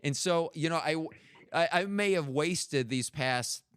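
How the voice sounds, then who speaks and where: A man's voice: on the high side, measured pace, fairly bright tone, smooth, no echo, studio quality. A middle-aged American man hosting a podcast, chatting casually in a candid, rambling way. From United States. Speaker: podcast host. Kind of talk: casual conversational interview.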